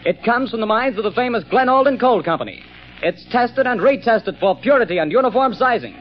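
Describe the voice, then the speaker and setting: A man working as an announcer, reading a 1940s radio commercial with polished, measured salesmanship. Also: very high-pitched, lively intonation. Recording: dull old radio recording.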